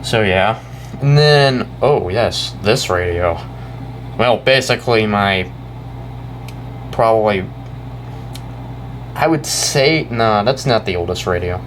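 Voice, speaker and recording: A young American man giving a casual, off-the-cuff show-and-tell, talking in a halting, rambling way and often correcting himself. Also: on the low side, animated. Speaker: hobbyist video creator. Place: indoors in a house. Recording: noisy room with air-conditioner hum.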